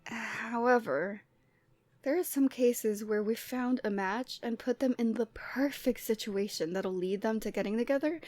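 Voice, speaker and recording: A woman playing a Cupid who is the listener's lovestruck best friend, in a conversational, expressive roleplay audio drama. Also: fairly high pitch, no echo, natural voice. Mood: explaining, wry. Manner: conversational, teasing, emotionally expressive.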